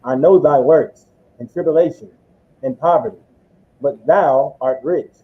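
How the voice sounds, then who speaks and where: Higher-pitched man on tinny, echoing line. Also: unhurried. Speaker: scripture reader assisting a preacher. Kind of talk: reading scripture aloud and responding to the preacher. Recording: tinny phone-like line with roomy echo.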